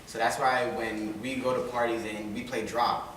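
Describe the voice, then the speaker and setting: Young guy chatting in a group, animated, roomy echo, hiss behind. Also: medium pitch, normal speed. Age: young adult. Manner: animated, laughing, emphatic. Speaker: student panelist. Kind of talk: casual group discussion. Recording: echoey room, mic far off, background noise.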